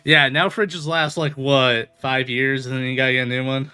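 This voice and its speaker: A man's voice: medium pitch, fairly even intonation, normal speed, bright, forceful tone, clear, resonant tone. A man streaming a video game, chatting casually in an informal, rambling way. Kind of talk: casual livestream chat over gameplay. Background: game music.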